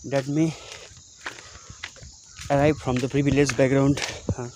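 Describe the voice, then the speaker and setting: Flat-voiced man with Indian English accent. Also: mid-range voice. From Sikkim, India. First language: Nepali. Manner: hesitant, many pauses and "uh" fillers. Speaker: YouTube channel host. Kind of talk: casual unscripted talk to camera. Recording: clear outdoor insect and animal noise.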